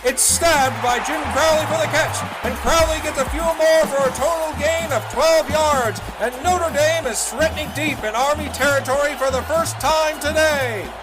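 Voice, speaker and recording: High-pitched excited 1920s radio football announcer. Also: male voice, normal speed, hardly any pauses, over loud music, poor audio. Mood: thrilled. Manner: urgent, shouted calls. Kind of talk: old-time radio play-by-play commentary.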